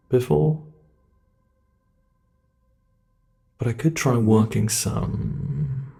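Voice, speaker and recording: A man with a British accent voicing a sadistic monster that hides under the bed, in an ASMR horror roleplay, talking slowly and slipping into menacing whispers. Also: on the low side, vocal fry, a little mellow. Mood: gloating. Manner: slow, gloating, menacing, drops into whispers. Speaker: voice actor.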